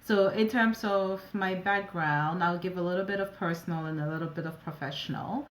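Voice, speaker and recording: Low-voiced woman, French native speaker. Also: normal speed. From Ivory Coast and Ghana. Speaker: guest, operations advisor. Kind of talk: casual interview answers. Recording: echoey room.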